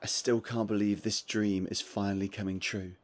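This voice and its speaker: A man's voice: lowish voice, flat delivery, moderate pace, lightly acted. An actor playing a troubled young man, a protective brother, thinking aloud in a horror video game. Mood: fond, pleased. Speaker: game protagonist. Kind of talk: video game voice acting, inner monologue.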